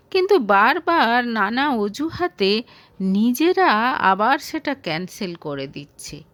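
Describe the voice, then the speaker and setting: A woman's voice: on the high side, dry recording. A woman reading a romantic story aloud as scripted narration.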